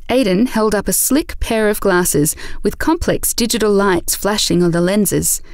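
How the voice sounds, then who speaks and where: A woman narrates a scripted audio drama. Her mid-pitched voice has a noticeable creak. The recording is very dry, with no room sound. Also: measured pace, a little mellow, dry recording.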